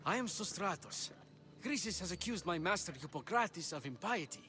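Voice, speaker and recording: A man's voice: high voice, lively intonation, normal speed, pushed and bright, a little hiss or hum. A man playing an anxious, earnest physician's apprentice in a video game, polite and eager. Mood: relieved, flustered. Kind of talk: dramatic video game acting. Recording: music behind the voice.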